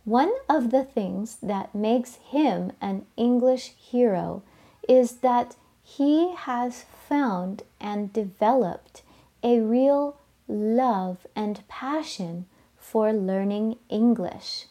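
A woman hosting an English learning podcast, speaking in a gentle, measured and encouraging way as she introduces and interviews a guest. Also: fairly high pitch. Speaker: podcast host. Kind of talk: scripted intro and interview questions.

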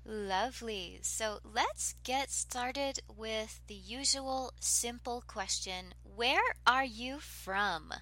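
A woman hosting an English learning podcast, speaking in a gentle, measured and encouraging way as she introduces and interviews a guest. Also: fairly high pitch. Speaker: podcast host. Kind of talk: scripted intro and interview questions.

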